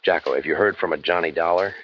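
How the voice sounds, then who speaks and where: An actor playing a cynical, wisecracking hardboiled detective in old-time radio noir style, sardonic and deadpan. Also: male voice, low-pitched, fast, dull audio, a little hiss or hum, natural voice. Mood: sardonic, curt.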